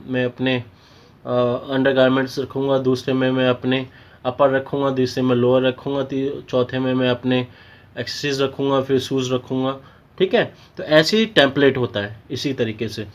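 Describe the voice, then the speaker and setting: A man's voice: mid-range voice, lo-fi sound. A man with an Indian accent giving an informal technical tutorial, explaining step by step and often checking in with short tags. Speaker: technical instructor.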